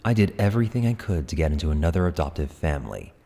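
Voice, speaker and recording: A man playing a brooding, manipulative young gang leader, narrating his dark story in a cold, deliberate, dramatic way. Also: a bass voice, big pitch swings, creaky, heavily acted. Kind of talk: scripted dramatic first-person narration. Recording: long reverb, loud music bed.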